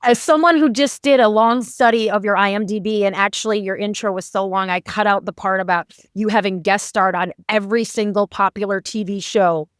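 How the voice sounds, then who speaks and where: A woman's voice: medium pitch, fairly even intonation, brisk, hardly any pauses, clean tone, dry recording, clean studio sound. A woman hosting a podcast, putting questions to her guests in a conversational interviewing style.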